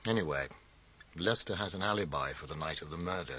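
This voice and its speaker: An actor playing an earnest, anxious, concerned friend with emphatic delivery in a radio drama. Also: male voice, deep voice, quick pace, pushed and bright, breathy edge, heavily acted. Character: jittery, concerned musician friend, urging help. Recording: dull, slightly muffled radio recording.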